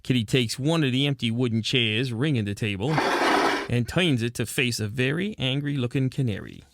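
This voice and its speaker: A man's voice: medium pitch, animated, moderate pace, creaky, slight background noise. A man narrating as a wry, world-weary, slangy hard-boiled gumshoe in old detective radio style. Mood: wry narration. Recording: music under the voice.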